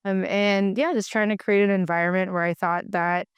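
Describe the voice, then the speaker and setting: A woman's voice: mid-range voice, steady tone, moderate pace, clean tone, no room sound, highs cut off. A woman in her thirties from the Philippines, a native Tagalog speaker, talking casually as a podcast guest with many "like"s and restarts. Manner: chatty, heavy use of "like", frequent restarts. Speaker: podcast guest, physical therapist. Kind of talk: casual conversation.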